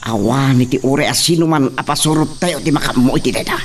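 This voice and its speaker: A man playing a firm, commanding young gentleman landowner in a radio drama, emphatic and melodramatic. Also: mid-range voice, a little fast, far from the mic, close to an everyday voice. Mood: firm, argumentative.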